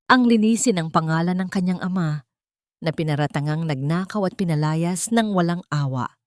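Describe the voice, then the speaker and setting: A woman's voice: on the low side, moderate pace, no room sound, clean studio sound. A woman with a Filipino accent narrating a dramatic story from a script. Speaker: audiobook narrator.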